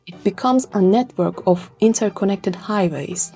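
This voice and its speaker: A woman's voice: mid-range voice, normal speed, dark tone. A woman presenting a scripted educational video essay, speaking earnestly and expressively straight to the listener. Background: background music.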